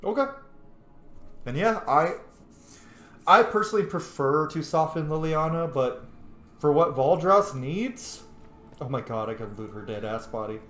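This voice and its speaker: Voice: mid-range voice, expressive, unhurried, long pauses, faint music behind. A gamer giving casual, playful playthrough commentary, chatty and full of interjections. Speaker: gaming streamer. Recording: roomy, faint game ambience. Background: faint video game ambience.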